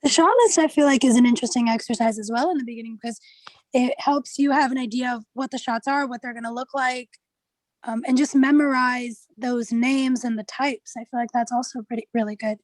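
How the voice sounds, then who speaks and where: A woman's voice: on the high side, fairly even intonation, brisk, dry recording, low-quality recording. A young woman, a student, giving her opinions in a casual, conversational group discussion. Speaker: media studies student.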